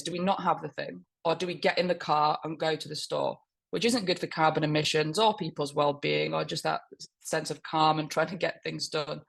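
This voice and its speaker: A woman speaks on a webinar panel with a hoarse, croaky voice from a cold. Her tone is gravelly, and she explains her public affairs work in fluent, polished corporate phrasing. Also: low voice.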